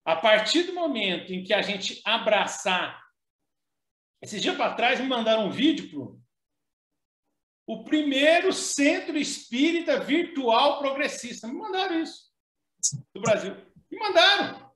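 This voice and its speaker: A man co-hosts a discussion, weighing his words with pauses and tag questions. His voice sits fairly high and rings in an echoey room. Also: fairly bright tone. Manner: measured, hesitant, frequent "né?" and restarts. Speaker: co-host. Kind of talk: casual discussion.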